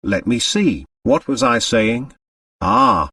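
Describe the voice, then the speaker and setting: A man voicing an affected, grasping society lady, a mother who speaks in gushing, genteel tones of gentle reproach. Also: medium pitch, very animated, breathy edge, distant mic, heavily acted. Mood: scheming. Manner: gushing, genteel, drawn-out endearments.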